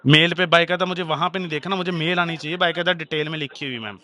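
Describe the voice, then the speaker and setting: A man's voice: on the high side, fast, bright, forceful tone. A man making a heated phone complaint, arguing insistently and repeating his demands.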